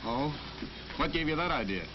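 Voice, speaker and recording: A man's voice: fairly high pitch, expressive, moderate pace, natural voice. An actor playing a plain-spoken, good-natured bread delivery man in a 1950s training film, folksy and matter-of-fact. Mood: proud. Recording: muffled, noisy 1950s film soundtrack.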